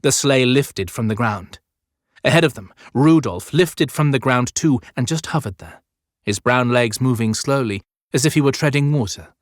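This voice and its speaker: A man narrating a fantasy audiobook as scripted storytelling, with measured, clearly phrased delivery. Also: medium pitch, lively intonation, dry recording, studio quality.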